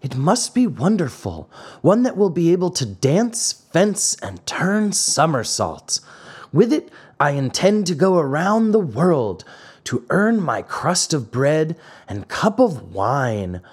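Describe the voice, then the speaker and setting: A dapper little old man with a very short temper, voiced by a male narrator. His storybook voice sits high and swoops up and down, emphatic and easily offended. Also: big pitch swings, slow pace, a little mellow, breathy edge, exaggerated voice. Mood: eager, courteous.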